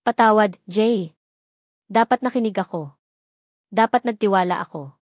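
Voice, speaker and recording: A woman voicing a remorseful young wife, soft-spoken yet firm. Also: medium pitch, moderate pace, dry recording, clean studio sound, natural voice. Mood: tearful.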